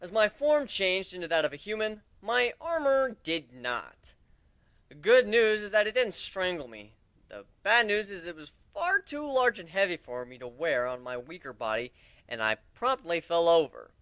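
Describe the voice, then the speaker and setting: Animated, bright-voiced man reading a story. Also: sits high, normal speed, clear, resonant tone, no echo. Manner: animated, expressive. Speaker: narrator of a fan story reading. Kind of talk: first-person dramatic story reading.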